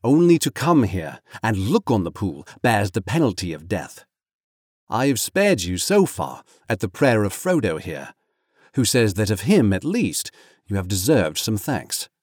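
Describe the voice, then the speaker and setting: A man acts out a grave storybook captain, stern and judicious. He commands in formal, old-fashioned phrases with a wide-moving pitch, recorded dry and close. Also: big pitch swings, a little slow, no echo. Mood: stern questioning.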